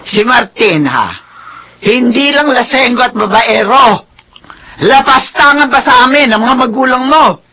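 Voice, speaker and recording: Fiery, high-pitched elderly Filipino mother. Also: lively intonation, a little slow, sharp tone, exaggerated voice. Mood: indignant scolding. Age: older. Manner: combative, scolding. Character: feisty elderly mother, protective and scolding. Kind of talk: Filipino radio drama acting.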